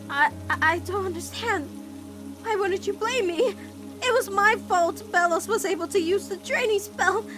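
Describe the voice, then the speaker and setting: A woman voicing an earnest, emotional teenage girl weighed down by guilt, in an animated-style comic dub, speaking haltingly. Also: very high-pitched, over music, pitched above the performer's own voice. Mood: guilty. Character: guilt-ridden, self-blaming teenage human girl.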